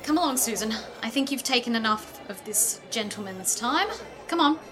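Actress for strict career mother, radio play; brisk, firm, slightly high voice over music. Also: lively intonation, fairly bright tone. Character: practical, strict mother and store executive, no fantasy. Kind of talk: old-time radio play acting.

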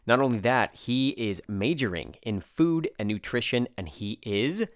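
A man's voice: medium pitch, animated, unhurried, dry recording, studio quality. An American man hosting a podcast, chatty and enthusiastic, with fillers and digressions. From United States. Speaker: podcast host. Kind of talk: casual podcast chat.

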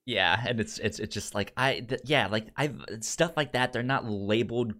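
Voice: mid-range voice, moderate pace, a bit bright. A podcast co-host in casual chat, rambling along and often repeating words.

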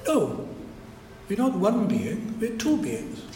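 A man's voice: wildly swooping pitch, slow, dark tone, slight background noise. Welsh-accented older man lecturing in a hall. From Wales. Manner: reflective, with frequent restarts and trailing pitch. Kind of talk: public lecture. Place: lecture hall at a society event. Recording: echoey hall recording.

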